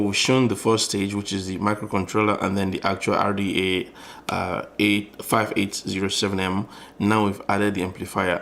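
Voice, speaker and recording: A man's voice: fairly low pitch, slight background noise. A man narrating an informal hands-on tutorial in a conversational, explanatory way, often rephrasing as he goes. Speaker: electronics tutorial presenter.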